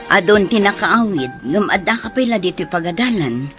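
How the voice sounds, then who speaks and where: A woman playing a concerned, fair-minded school teacher in a radio drama, with a husky voice. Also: mid-range voice, expressive, moderate pace, a bit bright, soft background music, natural voice.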